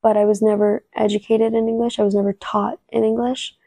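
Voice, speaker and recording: A young woman, a native Spanish speaker talking in English, telling stories from her acting work in a relaxed interview with many restarts. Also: fairly high pitch, brisk, vocal fry, a little hiss or hum. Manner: storytelling, relaxed, frequent "like" and restarts. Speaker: actress, interview guest. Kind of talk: casual interview answers.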